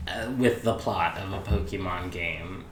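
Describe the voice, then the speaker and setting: A man's voice: deep voice, steady tone, unhurried. A man co-hosting a podcast, casually retelling a movie plot to a group. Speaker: podcast co-host. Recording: roomy echo, background noise.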